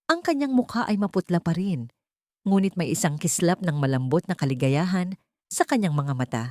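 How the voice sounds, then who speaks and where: A woman's voice: lowish voice, animated, normal speed, darkish tone, no echo, clean studio sound. A woman reading a scripted dramatic story as an audiobook narrator.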